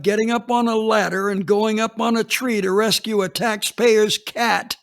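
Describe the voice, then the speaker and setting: A man's voice: high-pitched, vocal fry, a bit bright. A man reading a dramatized first-person story as a police chief, plainspoken and matter-of-fact.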